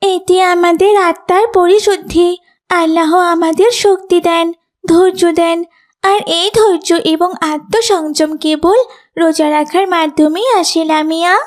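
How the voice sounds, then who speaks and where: Pious young girl for moral cartoon, extremely high pitch, earnest delivery, adult female performer. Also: fairly even intonation, measured pace. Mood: firm, instructive. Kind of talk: children's moral cartoon voice acting.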